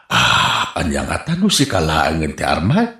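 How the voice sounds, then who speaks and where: A man playing an excitable village uncle in an Ilocano radio comedy drama, with an Ilocano accent and theatrical delivery. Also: a bass voice, vocal fry, full character voice. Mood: smitten, giddy. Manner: theatrical, drawn-out, swooping. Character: lovesick, excitable middle-aged village uncle. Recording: roomy, reflective sound.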